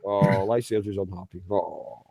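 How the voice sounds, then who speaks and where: A man's voice: low-pitched, steady tone, normal speed, vocal fry, mellow, soft background music. A man hosting a casual online group chat, laughing often and teasing the others. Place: online voice hangout.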